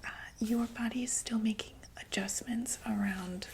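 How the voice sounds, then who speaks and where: A woman playing a reassuring female doctor for monsters in a whispered ASMR roleplay, explaining gently and hesitantly in a breathy voice. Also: on the high side, steady tone, normal speed, slight background noise. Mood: explaining calmly.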